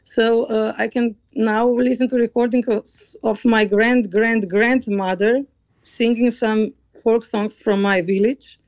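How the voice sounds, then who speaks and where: A woman from Slovenia with a Slovenian accent, a choral conductor answering interview questions in a halting way, often searching for words. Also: on the high side, steady tone, darkish tone, no echo. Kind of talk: interview conversation.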